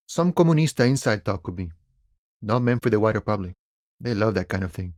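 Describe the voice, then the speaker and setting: A male actor plays a laid-back dock worker who fancies himself a philosopher of the open range. He is a video game character with a middle-pitched male voice. His delivery is folksy and unhurried, addressing listeners like old pals, and the sound is very dry. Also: no room sound, lightly acted. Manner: unhurried, folksy musing, calls people friend and boya.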